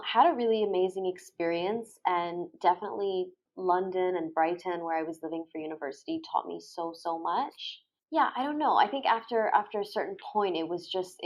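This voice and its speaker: Young woman, lower-pitched, chatty storyteller. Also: low-quality recording. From Egypt and Thailand. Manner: chatty, informal, peppered with "like" and "you know". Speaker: podcast guest, storyteller and photographer. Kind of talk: casual conversational storytelling.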